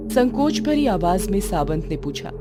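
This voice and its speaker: A woman's voice: medium pitch, big pitch swings, moderate pace, loud music behind the voice. A woman giving a scripted narration of a horror story.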